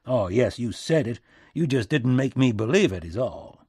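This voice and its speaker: A man's voice: mid-range voice, animated, normal speed, darkish tone, breathy edge, no echo, studio quality. A man voicing a weary, bitter American president with a folksy back-country accent and an emphatic, sardonic manner in an audiobook. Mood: agitated, sardonic.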